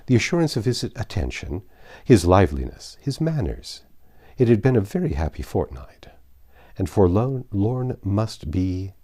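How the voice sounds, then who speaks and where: A man narrating a classic novel aloud as an expressive audiobook reader. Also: low-pitched, slightly rough.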